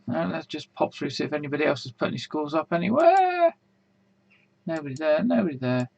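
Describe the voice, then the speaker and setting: A man hosting an online quiz in a casual, rambling way, full of fillers, with a slightly croaky voice. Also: on the high side, big pitch swings, fairly quick, darkish tone, no echo. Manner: chatty, rambling, many fillers and restarts. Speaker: quiz host.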